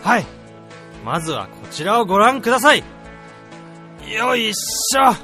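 A man playing an eager, excitable researcher who is deferential to his boss, in a comedic radio drama. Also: sits high, a little slow, faint music behind, full character voice. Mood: eager presenting. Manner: exclamatory, eager. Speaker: voice actor, also narrator.

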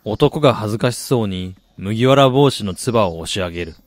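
A man's voice: fairly low pitch, animated, a little fast, dry recording, natural voice. An actor playing a reflective, polite soccer fan who also narrates his own story in a radio drama. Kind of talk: Japanese radio drama acting with first-person narration.

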